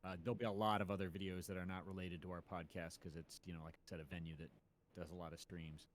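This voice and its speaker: A man's voice: low voice, little pitch movement, quick pace, a little hiss or hum. A man co-hosting a podcast, explaining things in casual chat. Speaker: podcast co-host.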